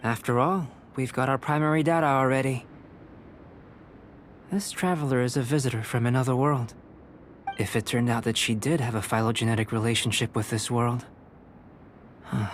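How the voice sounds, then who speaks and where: A man voicing a calm, precise young alchemist. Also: medium pitch, a little hiss or hum, natural voice. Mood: intrigued. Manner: measured, analytical and soft-spoken. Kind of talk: game cutscene voice acting.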